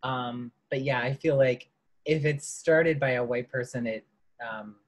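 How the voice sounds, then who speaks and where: A person from Wisconsin speaking loudly and enunciating every word clearly in an earnest workshop discussion on a video call. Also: medium pitch, measured pace. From Madison, Wisconsin, USA. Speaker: workshop participant.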